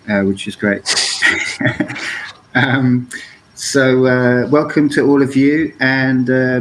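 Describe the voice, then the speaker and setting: A mid-pitched man leads an online roundtable in a loose, casual way, pausing on ums and restarts. A faint background hiss sits under his voice. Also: low-quality recording. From England. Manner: rhythmic, rhyming scripted intro then loose hesitant chat. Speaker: host.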